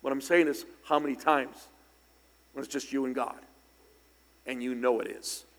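A middle-aged man preaching a sermon in church, slightly hoarse and raspy from singing, conversational with loud bursts of emphasis. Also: medium pitch, steady tone, normal speed. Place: church sanctuary. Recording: long hall reverb in church.

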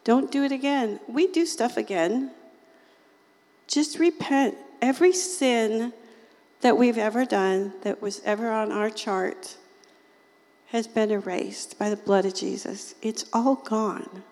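Raspy-voiced woman preaching informally. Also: fairly high pitch, takes it slowly, a little mellow, in a large hall. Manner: chatty, folksy, often laughs and acts out quoted voices. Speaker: lay preacher. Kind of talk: informal sermon to a small group.

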